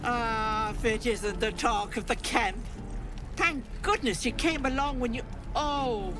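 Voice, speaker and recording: A woman's voice: fairly high pitch, takes it slowly. An actress voicing a doting, sing-song old woman, a hag in disguise in a video game. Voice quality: fussing, sing-song, grandmotherly. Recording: game audio with music behind.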